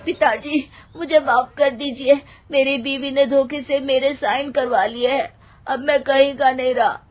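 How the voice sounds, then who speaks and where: A performer voicing a downtrodden, remorseful younger son in an animated moral story, pleading and sorrowful. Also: high-pitched, unhurried, faint music behind, pitched above the performer's own voice. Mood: distraught, remorseful. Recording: thin phone-like sound, faint noise.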